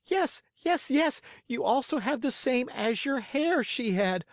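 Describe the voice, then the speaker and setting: Little wooden puppet boy, high voice, whiny and dramatic, pitch jumps all over, storybook style. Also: expressive, measured pace, no room sound, clean studio sound, full character voice. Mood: tearful, overwrought. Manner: pleading, emphatic, exclaiming. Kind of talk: audiobook character acting.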